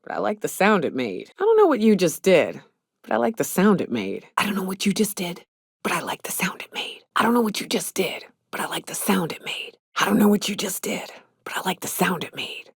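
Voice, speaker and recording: An actress playing a tough, wry mercenary hunter in a video game, with a husky, raspy voice and a casual, dry manner. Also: female voice, mid-range voice, big pitch swings, brisk, no room sound, heavily acted. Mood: hushed. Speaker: companion character in a video game. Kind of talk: video game voice acting, short barks and banter.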